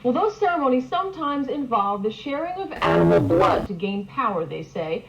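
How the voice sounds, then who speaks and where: A woman's voice: a little high, big pitch swings, moderate pace, vocal fry, soft background music. A woman delivering a television news report as a correspondent. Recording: dull old TV broadcast, faint noise.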